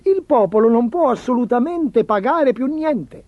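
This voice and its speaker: A man's voice: very high-pitched, lively intonation, measured pace, dry recording, dull audio, voice pushed up, full character voice. A man voicing a harried, anxious, deferential chancellor of a fairy-tale court, fretful and exasperated. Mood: pleading. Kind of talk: storybook character voice.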